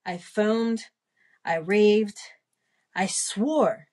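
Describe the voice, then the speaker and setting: A woman reading a classic short story aloud, haltingly and carefully, sounding out the hard words as she goes. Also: mid-range voice, a little mellow. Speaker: reader of a storytime podcast.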